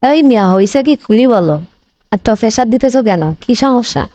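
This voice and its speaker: A woman acts out a dramatic, blaming cartoon wife with a highish voice. She scolds and wails at a very quick clip in a regional Bangladeshi Bengali dialect. The sound is close and dry. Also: lively intonation, mellow, dry recording, highs cut off, lightly acted. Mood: irritated, questioning. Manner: wailing, scolding, repetitive complaining. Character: shrill, dramatic, blaming wife and shop-owning boss. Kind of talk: comic cartoon dialogue.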